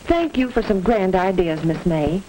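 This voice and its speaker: A woman's voice: mid-range voice, sing-song, normal speed, dark tone, lightly acted. Earnest 1940s mother played by an actress, faint noise. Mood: earnest, grateful. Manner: scripted, polite, formal. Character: appreciative, earnest mother visiting her child's teacher. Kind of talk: 1940s educational film acting. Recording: noisy 1940s film soundtrack.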